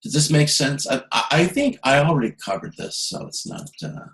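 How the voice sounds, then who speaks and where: A man giving an informal online lecture as a course instructor, halting and correcting himself as he thinks aloud. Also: on the high side, very animated, vocal fry, fairly bright tone. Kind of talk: informal lecture explaining slides. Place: indoor room, online video call. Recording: echoey room on video conference.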